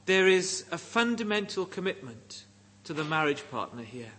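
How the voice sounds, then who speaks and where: A middle-aged man with a Welsh accent preaching a sermon in deliberate, emphatic phrases with pauses. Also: high-pitched, sing-song, sharp tone, slight background noise. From Wales.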